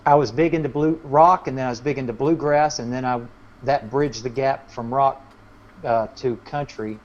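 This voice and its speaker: A man with a medium-high voice talks casually as a musician being interviewed, explaining himself in a loose, rambling way. The recording is muffled and lo-fi, with faint noise in it. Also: steady tone, moderate pace.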